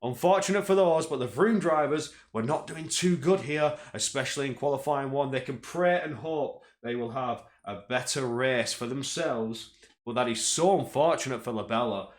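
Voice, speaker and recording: A man doing solo live-stream race commentary, energetic play-by-play that climbs in pitch at tense moments, with chatty asides in quiet spells. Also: normal speed, echoey room.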